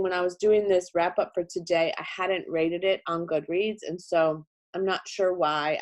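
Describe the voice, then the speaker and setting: A middle-aged woman with a low, deep-set voice talks chattily about books, often stopping to correct herself. She is still a little hoarse and congested, and the stuffiness shows in her voice. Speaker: book channel host. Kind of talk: casual book wrap-up.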